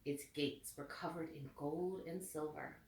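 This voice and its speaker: A woman reading a storybook aloud with expressive, deliberate phrasing meant for children. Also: low voice. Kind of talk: read-aloud storybook narration. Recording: echoey room, distant mic.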